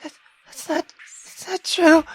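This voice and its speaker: A woman's voice: very high voice, steady tone, measured pace, voice pushed up. A woman voicing a frightened, timid daughter from a visual novel, faltering and pleading as she protests. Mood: fearful.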